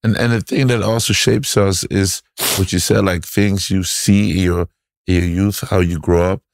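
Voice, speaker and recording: Deep-voiced male host, probing questions. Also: fairly even intonation, normal speed, gravelly, no echo, clean studio sound. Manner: attentive, probing questions, frequent affirmations. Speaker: interview host. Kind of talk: conversational interview.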